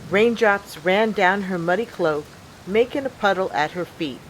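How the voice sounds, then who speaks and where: A woman reading a children's picture book aloud as a storyteller, in an expressive, deliberate way. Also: mid-range voice, a little hiss or hum, rough recording. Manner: deliberate, expressive storybook delivery with acted sound words.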